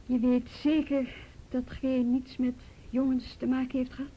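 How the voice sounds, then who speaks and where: A woman's voice: level tone, measured pace, muffled recording. A woman playing a firm, matter-of-fact youth police officer who asks probing questions in a Dutch radio drama. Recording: noisy old radio drama recording, rumble.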